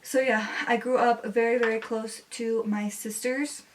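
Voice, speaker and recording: A woman's voice: fairly high pitch, unhurried, heavy background noise. Chatty twentysomething woman, spanish was her first language, lots of "like", mic a bit far off. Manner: chatty, relaxed, many "like" fillers. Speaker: beauty vlogger. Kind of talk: casual chat while doing makeup.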